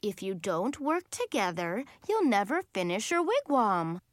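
A woman narrator plays a dreamy, earnest hippie woman who guides children in an audiobook. She speaks in slow, deliberate phrases with a soothing manner, and her pitch moves around freely in a close, dry recording. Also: big pitch swings, no echo. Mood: firmly calming.

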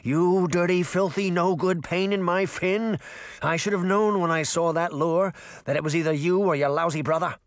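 A man's voice: high-pitched, fairly even intonation, normal speed, no room sound, voice pushed up, lightly acted. A man voicing a grouchy, sarcastic talking fish in a storybook, with a gurgling voice and blunt, complaining delivery. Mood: indignant. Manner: gruff complaining, streetwise insults.

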